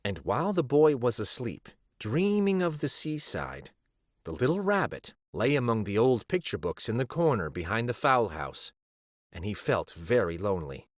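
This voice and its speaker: A man narrates a children's book with lively, varied intonation. His phrasing is measured and dramatic, in a mid-pitched voice, recorded close and dry. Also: no echo. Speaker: storyteller reading a children's book aloud. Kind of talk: expressive scripted storybook narration.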